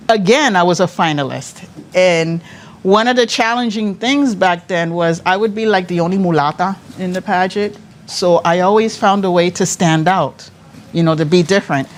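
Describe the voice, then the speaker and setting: Cuban-born woman in her fifties telling her story. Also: on the low side. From Cuba. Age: 50s. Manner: lively, laughs often, talks with many restarts. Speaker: pageant director, guest. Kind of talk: interview storytelling.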